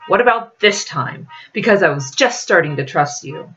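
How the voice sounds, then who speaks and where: A woman's voice: lowish voice, expressive, moderate pace, fairly bright tone, highs cut off, faint music behind. A woman voicing a wary, snappish young man on a quest in a novel reading, testy and earnest.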